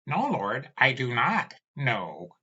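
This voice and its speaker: A man's voice: medium pitch, huge pitch swings, slow pace, pushed and bright, slightly rough, full character voice. A man voicing a timid, servile talking skull that answers its master meekly, in a dull lo-fi recording. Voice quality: meek, deferential.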